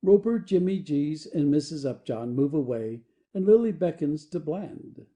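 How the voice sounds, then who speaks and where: Higher-voiced man narrating, very soft mellow tone. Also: lively intonation, unhurried. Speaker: narrator reading stage directions. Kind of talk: expressive scripted narration.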